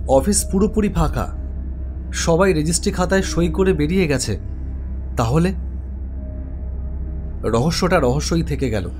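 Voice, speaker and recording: Male actor as office-worker narrator for animated horror tale, slightly high voice, suspenseful storyteller, music underneath. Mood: uneasy, puzzled. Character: male office web developer narrating his ghost story. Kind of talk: scripted first-person horror story narration. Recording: music behind the voice.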